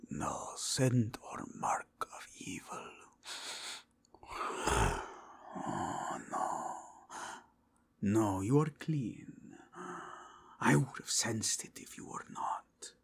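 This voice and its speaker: Werewolf inquisitor male performer: slow, gravelly half-whisper, mixed Spanish-tinged foreign accent, formal, zealous, intense ASMR roleplay delivery. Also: mid-range voice, expressive, exaggerated voice. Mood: solemn, intense. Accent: mixed foreign with Spanish touches. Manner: formal, courteous, deliberate, intense. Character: zealous, courtly werewolf inquisitor who sniffs out evil.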